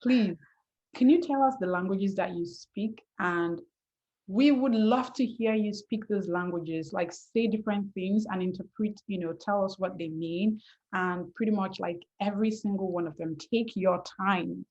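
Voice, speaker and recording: Woman, interview host, enthusiastic, encouraging, mid-pitched, muffled lo-fi audio. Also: unhurried, darkish tone. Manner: enthusiastic and encouraging, effusive praise. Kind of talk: casual interview chat.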